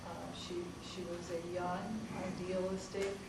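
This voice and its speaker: A woman with a fairly low voice speaks carefully on a panel, hesitating and restarting phrases. She is far from the mic in a very echoey theatre hall, with loud noise behind her. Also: flat delivery, measured pace. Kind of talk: panel discussion statement.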